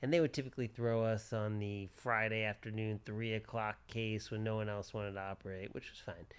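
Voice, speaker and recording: A man's voice: fairly low pitch, flat delivery, brisk, smooth. A man in his forties, a plastic surgeon co-hosting a podcast, chatting casually in short repeated phrases. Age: 40s.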